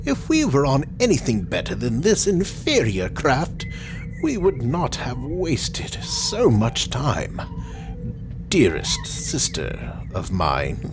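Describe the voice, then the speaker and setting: A man's voice: mid-range voice, huge pitch swings, slow, over music, heavily acted. Man playing a pompous, swooping reptilian scientist. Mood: indignant. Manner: pompous, formal, clipped and indignant. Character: frail feathered male reptilian scientist, proud and touchy. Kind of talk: audio drama villain acting.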